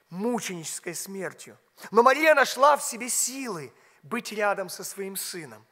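A man in his forties preaching a sermon from a pulpit in a large church. He speaks a language that is not his first, with emphatic rhetorical questions and words dropped to a hush. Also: sits high. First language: not Russian. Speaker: pastor. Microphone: pulpit mic. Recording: long hall reverb.

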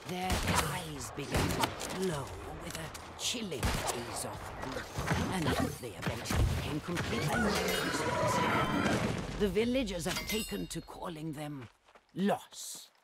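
A driven, cold-blooded female researcher narrating a journal in a fantasy game. Her voice sits low and moves dramatically, clinical yet self-justifying. Loud noise runs behind her. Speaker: voice actor of a game character.

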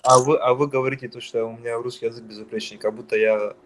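A man's voice: mid-range voice, level tone, fast, fairly bright tone, rough recording. Hesitant young man from Dagestan. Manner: defensive, hesitant.

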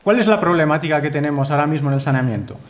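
A man's voice: on the high side, racing. A man in his thirties with a Spanish accent, giving a formal conference talk from a lectern in a lecture hall, earnest and emphatic, often adding tag questions. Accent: Spanish from Spain. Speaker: conference speaker. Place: wood-panelled lecture hall.

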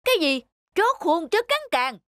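A man's voice: very high-pitched, very animated, moderate pace. A man voicing a big, pompous middle-aged scholar in a cartoon, a boastful, hot-tempered bully who sneers and blusters. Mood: outraged.

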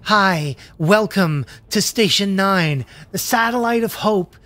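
A man hosting a show with a casual introduction, playful, rambling and halting. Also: sits high, animated. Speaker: host.

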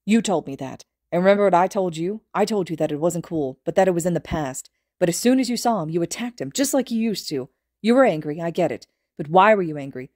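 A woman's voice: lowish voice, a little mellow, no room sound, clean studio sound, close to an everyday voice. A woman voicing a blunt, loyal teenage boy hero who scolds his friend earnestly in a read-aloud story.

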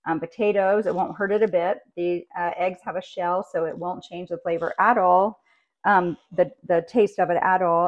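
A woman's voice: on the low side, a little mellow, highs cut off. Woman chatting through kitchen prep. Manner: friendly, practical, talks while working. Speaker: cooking channel host. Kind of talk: casual kitchen how-to. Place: home kitchen.

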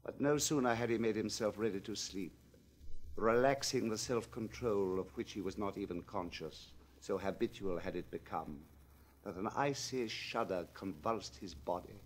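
A man narrating a literary novel in a measured, expressive reading that swings between loud and hushed passages. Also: lowish voice, a little hiss or hum. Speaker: audiobook narrator.

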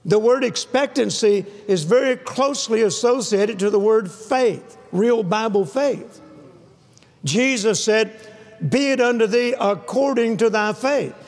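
Older male preacher, high gravelly voice. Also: unhurried, darkish tone. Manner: emphatic, repeating key phrases, calls for amens. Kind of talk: sermon. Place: large church hall with a stage and live audience. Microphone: lapel mic. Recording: long hall reverb.

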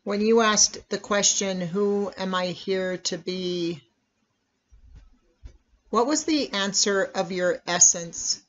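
A meditation guide speaking in a measured, instructional way with long pauses between phrases. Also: mid-range voice, a bit bright. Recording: dull, lo-fi recording.

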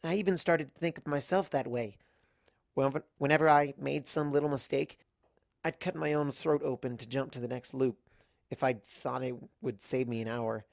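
A man's voice: medium pitch, moderate pace, creaky, dry recording, studio quality, lightly acted. Hesitant, troubled young mare, acted by a man. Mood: troubled, guilty. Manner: hesitant, stammering. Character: earnest, troubled young female unicorn pony.

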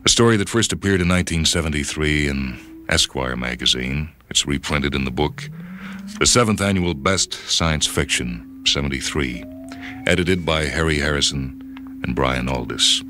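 A man narrating a story for radio in a measured, introspective, dramatic manner. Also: extremely low pitch. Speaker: reader and host of a radio short story program. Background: music.

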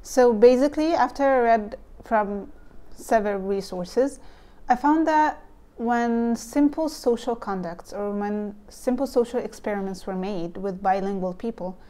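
Young egyptian woman, arabic accent, chatting to camera on a lapel mic at home, slightly high mellow voice, lots of ums. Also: a little slow. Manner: nervous, thoughtful, with frequent ums and likes. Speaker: vlogger. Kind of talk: casual explainer vlog. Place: home room with bookshelves, indoors. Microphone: lapel mic, camera close.

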